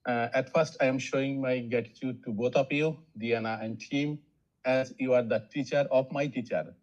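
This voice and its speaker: A man's voice: mid-range voice, steady tone, moderate pace, darkish tone. A man from Bangladesh, asking a formal, polite question in an online webinar. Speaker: audience questioner. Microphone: video call. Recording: dull lo-fi video-call audio.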